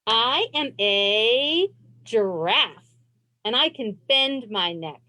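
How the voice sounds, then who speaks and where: A woman librarian reading a children's picture book aloud in an expressive, encouraging way. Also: a little high, very slow, dry recording. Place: indoors on a video call.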